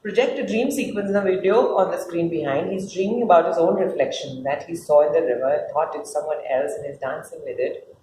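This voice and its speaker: A woman's voice: low voice, a little fast, dark tone. A woman reading out scripted stage directions as the narrator of a play. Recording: roomy echo with faint noise.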